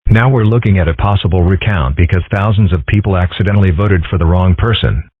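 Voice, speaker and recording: A man voicing a calm, philosophical young fox boy who muses dreamily in an amateur comic dub. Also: low-pitched, fast, no room sound. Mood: musing. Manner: dreamy, musing. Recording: dry, phone-like narrow sound.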